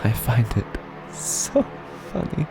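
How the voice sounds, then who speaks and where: A man playing an unhinged narrator descending into madness in a horror audio drama, speaking slowly and haltingly, often hushed to a whisper over loud music. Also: close to an everyday voice. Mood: manic, eerie revelation.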